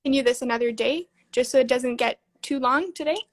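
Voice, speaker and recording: A woman with a high voice hosts a live video chat in an easygoing way. She answers with short agreeing replies and steers the talk along. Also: fairly quick. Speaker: live-stream host. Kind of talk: casual live-stream chat.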